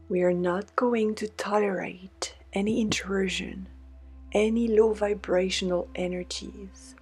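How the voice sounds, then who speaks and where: A woman guides a meditation in a soothing, deliberate way, with breathy half-whispered phrases. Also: medium pitch, darkish tone. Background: meditation music with singing bowl tones.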